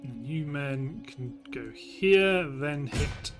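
Higher-voiced British man, casual gaming commentary. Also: animated, drawn-out words, over music. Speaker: gameplay host. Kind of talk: casual let's play commentary on a strategy game.

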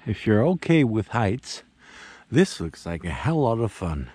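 A man's voice: fairly low pitch, very animated, unhurried, a little mellow, husky, no room sound. A man in his thirties, filming himself at a campsite and chatting plainly to the camera about the weather and his plans. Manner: plain, matter-of-fact commentary to camera. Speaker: vlogger. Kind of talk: casual camping vlog. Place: campsite, in a tent and out in a snowy field. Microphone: handheld action camera at arm's length.

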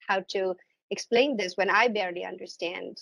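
A woman's voice: mid-range voice, measured pace, creaky, no echo, rough recording. A middle-aged woman from India asking a question on a video call, hesitant and searching for words. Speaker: audience member asking a question. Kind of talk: informal question and personal account.

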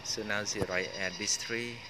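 A man's voice: fairly low pitch, steady tone, normal speed. A man filming outdoors at night under trees, speaking non-native English and coaxing monkeys in a casual vlog. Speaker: channel host filming monkeys. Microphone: handheld phone camera. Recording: loud outdoor noise.

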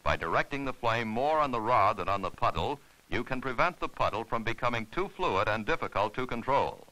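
A man's voice: medium pitch, lively intonation, a little fast, pushed and bright, low-quality recording. A man narrating an instructional film in a formal, scripted, step-by-step way.

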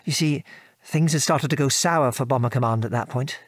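A man's voice: medium pitch, no echo, clean studio sound, close to an everyday voice. An actor with a British accent playing a former RAF airman and father, frankly recounting his war service in an articulate, old-fashioned way for an audio drama. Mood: wry reminiscing. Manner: articulate, measured storytelling with old-fashioned British turns of phrase. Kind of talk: conversational audio drama reminiscence.

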